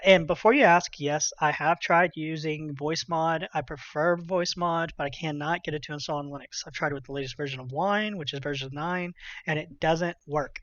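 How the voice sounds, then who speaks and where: A man's voice: fairly high pitch, a bit bright, no room sound. Casual man, slightly dull dry recording. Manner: informal, conversational, slangy. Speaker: tutorial presenter. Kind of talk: casual software tutorial narration.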